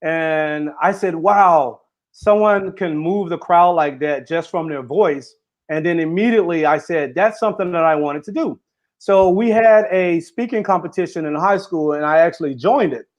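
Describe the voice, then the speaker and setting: Man, high voice, earnest, runs sentences together, muffled lo-fi audio. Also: normal speed. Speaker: interview guest, author and speaker. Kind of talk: casual interview conversation.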